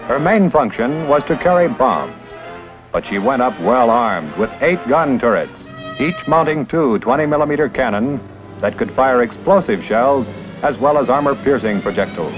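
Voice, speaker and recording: A man's voice: mid-range voice, expressive, normal speed. A man giving scripted, proud, declamatory narration for an old documentary film. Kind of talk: scripted 1950s newsreel narration. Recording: dull old film soundtrack with music.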